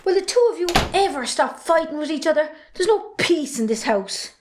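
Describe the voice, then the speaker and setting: A woman acts an independent young Irish daughter in an amateur family drama. Her voice is clearly high, and she speaks up assertively, chiding those around her. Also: animated, normal speed, noisy background. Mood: indignant. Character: outspoken, independent young adult farmer's daughter. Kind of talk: amateur stage drama acting.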